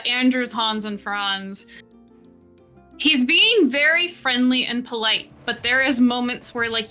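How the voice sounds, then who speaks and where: A woman with a bright, fairly high voice runs a tabletop game. She narrates casually, swears freely and tosses in quick asides. Soft music plays behind her. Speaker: game master.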